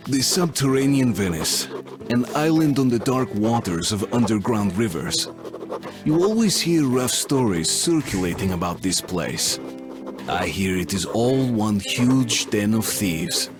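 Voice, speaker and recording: A grave young soldier narrating his mission in a post-apocalyptic game. The voice is fairly low and duty-bound, with clear music underneath. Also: expressive, measured pace. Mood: grave, reflective. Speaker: protagonist narrator.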